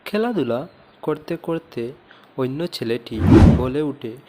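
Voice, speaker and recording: A man's voice: medium pitch, animated, takes it slowly, warm tone, a little hiss or hum. A man narrating a scripted moral tale for a cartoon as its storyteller.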